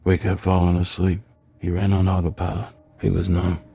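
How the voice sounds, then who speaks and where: A man playing a dark, menacing narrator in a video game, speaking in an ominous, flat, deliberate way. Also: low voice, vocal fry, husky, exaggerated voice.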